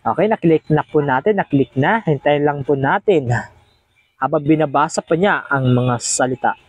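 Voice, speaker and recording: A man's voice: fairly high pitch, normal speed, husky, dry recording, highs cut off. A man hosts a casual step-by-step tutorial, chatty and encouraging, often repeating himself. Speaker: host of video editing tutorial.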